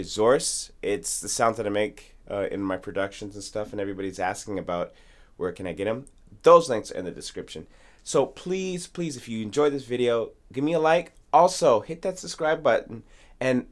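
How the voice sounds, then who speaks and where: A man in his thirties hosting an informal instructional tutorial, talking straight to viewers from a small studio. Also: on the low side. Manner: informal, addresses viewers directly. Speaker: music tutorial host, musician. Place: small home studio with acoustic foam. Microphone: studio condenser mic with pop filter.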